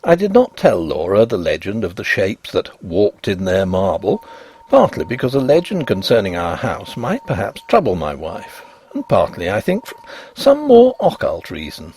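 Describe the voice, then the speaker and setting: A man narrating a Victorian ghost story as scripted reading, with an expressive, literary delivery. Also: fairly low pitch, gravelly, breathy edge.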